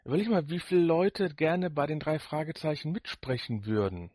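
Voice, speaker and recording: A man's voice: a little high, very animated, normal speed, a little mellow, no echo, clean studio sound. A man co-hosting a podcast, giving his opinions in casual, unscripted chat. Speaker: podcast co-host.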